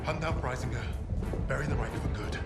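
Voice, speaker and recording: An actor playing a resolute, grim Allied squad leader in a war game, earnest and commanding. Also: male voice, mid-range voice, fairly quick, over loud music, natural voice. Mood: solemn resolve. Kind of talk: cinematic war game acting.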